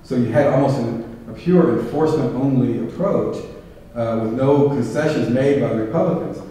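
Male speaker, mellow voice, long echo, caught from the back of a big hall. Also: mid-range voice, normal speed. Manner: thoughtful, many fillers and restarts. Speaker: guest speaker. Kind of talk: public talk with audience questions. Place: large hall with round tables. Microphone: podium mic, filmed from far back. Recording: echoey hall from far off.

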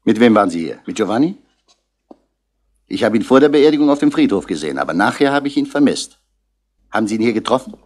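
An actor playing a stern, impatient police commissioner who interrogates brusquely, in the style of a 1960s crime radio drama. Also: male voice, lowish voice, big pitch swings, fast, long pauses, a little mellow. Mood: probing.

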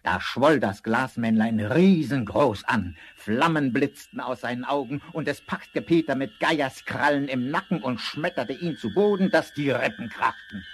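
A man narrating a fairy tale as a grave storyteller, measured and dramatic. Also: medium pitch, a little hiss or hum, lightly acted.

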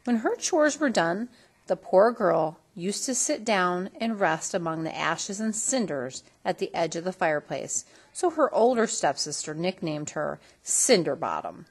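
A woman reading a children's story aloud in an expressive storytelling style. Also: lowish voice, a little slow, husky. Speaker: storybook reader.

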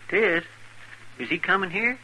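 An actor playing an eager, simple, anxious frontier sidekick with a folksy drawl in a 1950s radio western. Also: male voice, high-pitched, expressive, normal speed, sharp tone, heavily acted. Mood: anxious, curious. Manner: folksy, questioning, ungrammatical drawl. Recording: noisy old radio broadcast recording.

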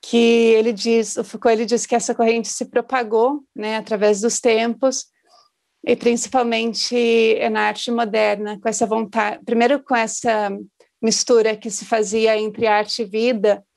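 Woman in her thirties giving an academic talk over a video call, her fairly high voice edged with gravelly fry. She stays flat and even, often tagging her points with a questioning "né?", speaking from a small room at home. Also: measured pace. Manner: thoughtful, with tag "né?". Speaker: postdoctoral researcher.

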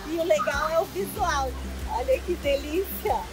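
A woman's voice: high-pitched, moderate pace, music in the background. A Brazilian woman in her forties, a travel vlogger, cheerfully explaining step by step how to set up a camping hammock, recorded outdoors by a waterfall. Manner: lively, explains step by step while working. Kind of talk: upbeat tutorial vlog. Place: outdoors by a waterfall in woods, and an indoor room.

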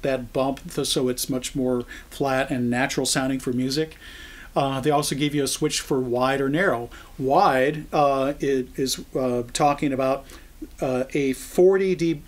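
An American man in his sixties giving an informal product explainer, speaking conversationally and haltingly as he thinks it through. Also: a little high. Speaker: product trainer.

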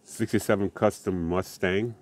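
Low-voiced man chatting about toy cars. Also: moderate pace. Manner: relaxed, rambling, with many uhs and restarts. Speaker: die-cast car collector vlogger. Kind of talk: casual show-and-tell chat.

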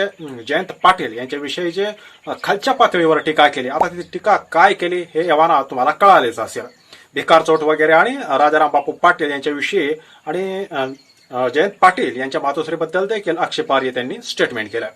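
A man's voice: on the high side, expressive, fairly quick, a bit bright. A man with a Maharashtrian Marathi accent giving unscripted political commentary to viewers, explaining events in an emphatic storytelling way with rhetorical questions.

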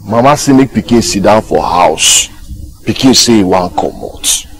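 An actor playing a domineering, sardonic gang boss in a film drama, with a Nigerian accent and a taunting, lecturing manner. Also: male voice, lowish voice, lively intonation, unhurried. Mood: commanding, menacing. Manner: taunting, lecturing, rhetorical questions. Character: domineering, sardonic male gang boss, self-styled master.

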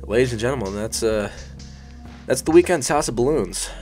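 A man's voice: medium pitch, lively intonation, moderate pace, darkish tone, soft background music. A young man with a sniffly, allergy-stuffed voice, casually talking through a design tutorial as a voice-over, rambling, self-deprecating and swearing now and then. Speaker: YouTube design tutorial host. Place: hot bedroom.

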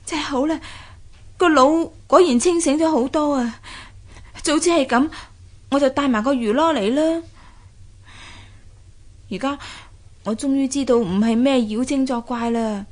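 A woman's voice: high-pitched, unhurried, long pauses. An actress playing a curious, plucky young fisher maiden in a radio drama, exclaiming and sighing as she talks to herself. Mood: wary, relieved. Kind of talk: Cantonese radio drama acting.

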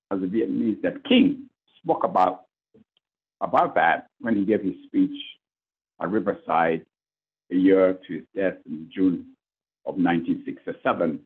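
A man's voice: deep voice, unhurried, long pauses, breathy edge, narrow, tinny audio. A man from Uganda, a priest speaking on a conference panel over a video call, earnest and list-like with fillers. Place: plain indoor room, video call. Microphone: video call webcam.

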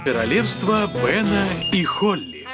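An actor giving unhurried, expressive scripted narration as the storybook narrator of a children's cartoon. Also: mid-range voice, over loud music. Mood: welcoming.

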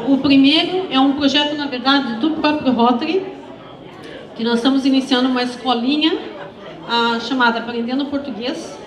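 A woman in her forties speaks on a stage into a handheld mic, pausing and restarting as she goes. Her voice is high. An echoey hall carries a murmuring crowd behind her. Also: distant mic. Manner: hesitant, many fillers and restarts. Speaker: event organizer and emcee. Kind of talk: event speech.

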